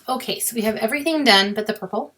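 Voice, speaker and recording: A woman with a sore, scratchy throat, explaining a crafting software task step by step in a chatty way and muttering to herself as she clicks. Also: fairly high pitch, quick pace. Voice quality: raspy with creaky fry. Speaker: crafting tutorial host. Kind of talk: screen-recorded software walkthrough.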